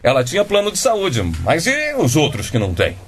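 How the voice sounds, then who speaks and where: A man's voice: on the high side, wildly swooping pitch, a little fast, faint music behind. A man playing an indignant, self-righteous husband and schoolteacher in a comic radio drama, pompous and theatrical.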